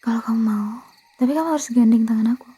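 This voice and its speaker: A very breathy, mellow Indonesian vampire girl, acted by a woman, murmurs and whispers close in an ASMR roleplay. Her voice sits fairly high and stays soft. She coaxes and pleads, stumbling and correcting herself as she tries to seem harmless despite her thirst for blood. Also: fast. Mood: apologetic, gently coaxing. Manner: hesitant, stammering, self-correcting, coaxing. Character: blood-craving young female vampire girlfriend, harmless, hesitant, pleading.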